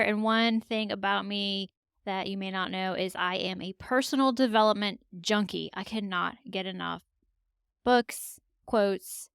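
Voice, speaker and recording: A woman talks casually as a guest on a podcast, sounding upbeat and keen. Her mid-pitched voice carries plenty of fry. The recording is dry, with no room sound. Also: no room sound. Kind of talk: casual self-introduction.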